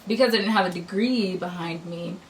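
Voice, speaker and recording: A woman's voice: on the low side, a little fast. A woman in her twenties, the host, chatting casually and telling stories with many fillers. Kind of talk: casual chat. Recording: distant mic with faint noise.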